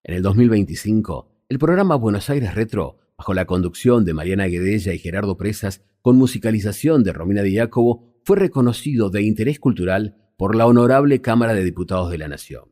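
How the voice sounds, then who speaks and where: A man's voice: lowish voice, animated, brisk, few pauses, mellow. An Argentine man reading scripted documentary narration as a narrator. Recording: music behind the voice.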